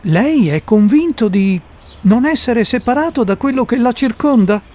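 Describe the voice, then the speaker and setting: Man acting a high-pitched, earnest curious interviewer. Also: expressive, normal speed, dark tone. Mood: puzzled. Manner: courteous, questioning. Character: curious male interviewer, polite, earnest, puzzled seeker. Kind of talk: radio drama dialogue.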